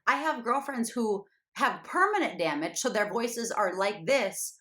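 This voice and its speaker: A woman hosting a relaxed podcast chat, her pitch rising and falling with a lot of life. The sound is roomy and echoey around her, and she answers her guest with supportive, expressive remarks. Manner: supportive, expressive, quick affirmations. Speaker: podcast host. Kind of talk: casual conversational interview. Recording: roomy echo.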